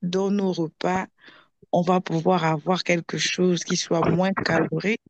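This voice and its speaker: A hoarse woman with a sore throat asking a hesitant question on a group call, with many fillers and restarts. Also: on the low side, no room sound. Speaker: program participant. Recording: dull, dry lo-fi audio.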